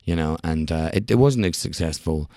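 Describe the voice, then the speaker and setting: Deep-voiced Scottish-born actor, dry sound. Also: male voice, moderate pace, no room sound. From Scotland. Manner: expressive storyteller, wry jokes, frequent laughs. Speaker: actor, interview guest. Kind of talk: casual interview talk.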